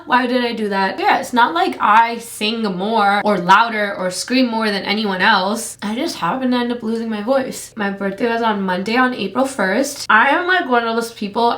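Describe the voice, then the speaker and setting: A woman's voice: fairly high pitch, fairly bright tone. A woman in her twenties from Canada, chatting casually and rambling with lots of fillers while doing her makeup for a vlog. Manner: rambling, filler-heavy with frequent "like". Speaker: beauty vlogger. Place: home room.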